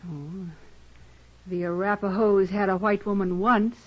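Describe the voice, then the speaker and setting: An American woman playing a dignified, guarded frontier mother in a 1950s radio western, speaking in a measured, courteous way. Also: medium pitch, fairly even intonation, natural voice. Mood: quiet sympathy. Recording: dull, muffled vintage radio recording.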